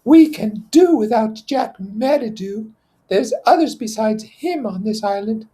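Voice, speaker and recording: A man's voice: high voice, animated, unhurried, mellow, voice pushed up. A man voicing an indignant, organizing schoolboy with insistent, ungrammatical speech in a storybook read-aloud. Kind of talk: character voice in novel read-aloud.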